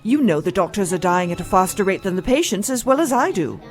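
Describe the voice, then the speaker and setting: A woman's voice: mid-range voice, sing-song, fast, close to an everyday voice. Woman acting no-nonsense doctor, feisty, blunt, sarcastic, mid-range voice, audio drama, faint music. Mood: defiant.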